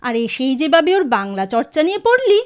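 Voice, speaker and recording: Woman acting a very high, animated woman. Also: fast. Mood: teasing reproach. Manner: exclamatory, playful chiding. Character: chatty, mock-jealous Bengali married woman, old college friend. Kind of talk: Bengali audio drama acting.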